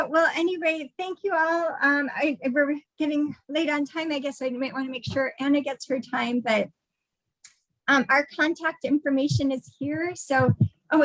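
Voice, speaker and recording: A woman's voice: a little high, gravelly, no room sound, poor audio. A woman researcher speaking informally at a virtual talk over a video call, thinking aloud in a hesitant way.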